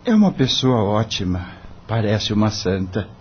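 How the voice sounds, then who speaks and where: A dull, lo-fi radio drama recording of an earnest young lawyer. He speaks with courteous deference and a slightly husky voice that moves expressively up and down. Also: on the low side, sing-song, moderate pace. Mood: thoughtful, earnest. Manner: polite, deferential.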